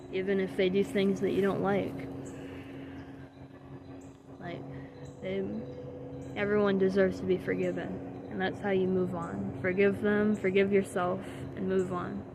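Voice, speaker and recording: Young woman, vlogger, earnest motivational rant, gravelly fry, noisy take with traffic. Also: medium pitch, flat delivery, a little slow, pauses often. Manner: earnest and heartfelt, swearing, trailing off with soft laughs. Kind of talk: candid motivational monologue.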